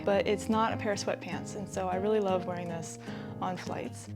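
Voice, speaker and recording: An American woman in her thirties from the East Coast, chatting casually and excitedly to viewers as a vlogger. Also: mid-range voice. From Connecticut, US East Coast. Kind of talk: casual vlog talk to viewers.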